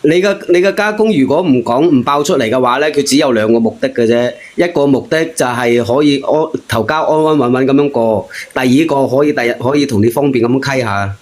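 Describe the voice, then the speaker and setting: A man giving casual advice on a call-in show, reasoning point by point in a measured way. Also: medium pitch.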